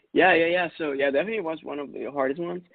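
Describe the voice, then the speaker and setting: A man in his thirties from Ecuador, a music producer answering interview questions in a relaxed, chatty way with lots of fillers. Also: fairly high pitch, brisk, vocal fry, no room sound. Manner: relaxed, chatty, laughs often, many fillers. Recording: dry tinny phone-like sound.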